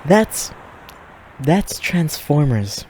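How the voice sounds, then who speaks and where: A man's voice: on the high side, very animated, drawn-out words, mellow, slight background noise, full character voice. A man playing a flirty, teasing demon who reports and hosts in a fiction podcast. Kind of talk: fiction podcast banter and monologue.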